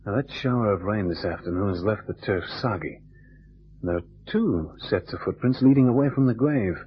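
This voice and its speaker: An actor playing a tough, quick-witted private detective who talks in clipped slang, in old-time radio drama style. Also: male voice, fairly low pitch, animated, slightly rough. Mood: hushed, urgent. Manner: clipped tough-guy slang, calls partner baby. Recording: dull, noisy vintage radio recording with hum.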